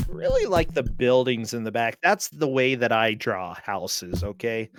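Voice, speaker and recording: A man's voice: medium pitch, sing-song, unhurried, over music. A man chatting casually and playfully as co-commentator on a video game, joking along.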